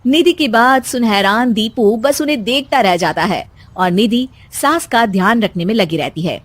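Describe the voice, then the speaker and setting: A woman's voice: fairly high pitch, moderate pace, no room sound. A woman reading scripted narration for a cartoon family story.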